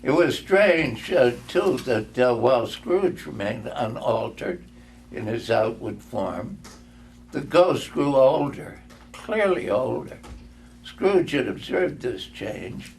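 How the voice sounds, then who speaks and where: An older man giving a dramatic storybook reading in a measured, halting manner, sometimes stumbling and repeating words. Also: medium pitch, lively intonation, creaky, a little hiss or hum. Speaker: reader.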